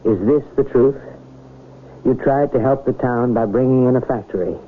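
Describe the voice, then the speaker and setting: Actor as an earnest reverend on tinny radio. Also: male voice, medium pitch, normal speed, lightly acted. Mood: probing. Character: earnest, probing, persuasive small-town reverend. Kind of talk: 1980 radio drama acting. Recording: noisy, tinny old radio broadcast recording.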